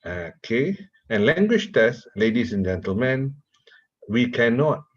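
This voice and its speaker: A man from Malaysia whose first language is Malay, giving a slow, deliberate university lecture and putting questions to his audience. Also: medium pitch, big pitch swings, muffled recording. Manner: slow, deliberate, repeats words while asking the audience questions.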